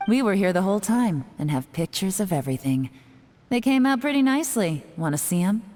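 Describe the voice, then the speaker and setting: A woman's voice: medium pitch, sing-song, fairly quick, mellow, long reverb tail, lightly acted. An actress playing a confident female spy with a casual, wry delivery. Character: cool, teasing female spy. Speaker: game character.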